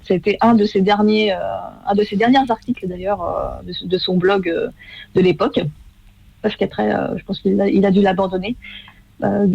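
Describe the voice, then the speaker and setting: Give me a woman in her thirties speaking haltingly, with a creaky, gravelly voice. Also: mid-range voice, fairly even intonation, no echo, dull audio. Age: 30s. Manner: hesitant, many fillers and restarts. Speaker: guest, former masterclass student. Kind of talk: casual radio interview.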